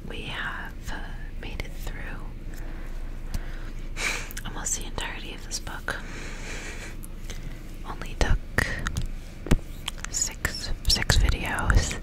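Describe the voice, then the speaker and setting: A woman speaking in a soft, breathy, unvoiced whisper close to the microphone, in a slow and deliberate ASMR style. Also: low-quality recording. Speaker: ASMR host reading a novel aloud. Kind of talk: whispered ASMR, chat and audiobook-style reading.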